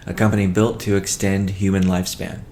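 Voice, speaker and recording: A man presenting a company update as a chief executive, in a measured, matter-of-fact way with halting phrasing. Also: low-pitched, level tone, warm tone, a little hiss or hum. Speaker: company CEO hosting a weekly call. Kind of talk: business update presentation, partly read from notes.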